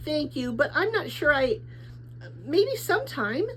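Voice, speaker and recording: Woman playing young storybook girl, earnest, hesitant, questioning, high voice, very animated intonation. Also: a little slow, slight background noise, exaggerated voice, poor audio. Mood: doubtful, polite.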